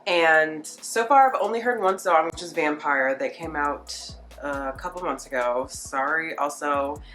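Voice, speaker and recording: A woman with a low, bright voice that sounds a little stuffed up from a cold. She talks casually and loosely to viewers of her music reaction vlog, rambling as she thinks aloud and leaning often on "like". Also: measured pace. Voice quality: slightly nasal, congested. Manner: chatty, rambling, filler-heavy with frequent "like". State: getting over a cold. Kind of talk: casual unscripted reaction commentary.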